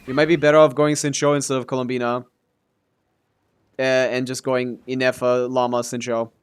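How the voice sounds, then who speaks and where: A man's voice: medium pitch, little pitch movement, normal speed, clear, resonant tone. A man giving casual, offhand live stream commentary on a game, thinking aloud as he answers chat and swearing casually.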